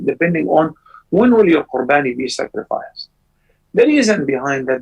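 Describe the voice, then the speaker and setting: A man hosting a live call-in show, answering callers' religious questions in measured explanations. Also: breathy edge, rough recording. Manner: measured explanations with sudden lively rises in pitch. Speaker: scholar answering viewers' calls.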